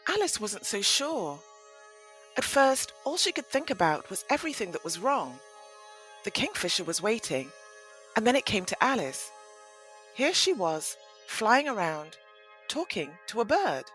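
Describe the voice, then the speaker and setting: A woman narrating a scripted audio play in an expressive, dramatic storytelling manner. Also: medium pitch, measured pace, faint music behind.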